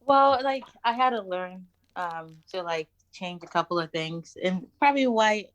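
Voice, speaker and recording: Frank Nigerian woman, low-voiced. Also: animated, no room sound, muffled recording. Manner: frank and emphatic, quick to laugh. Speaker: podcast guest. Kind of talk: casual podcast chat.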